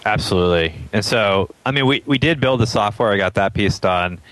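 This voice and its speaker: A man's voice: lowish voice, a little fast, a bit bright, no echo, rough recording. A man from Atlanta, a startup founder as an interview guest, thinking aloud in casual chat with many ums and frequent chuckles.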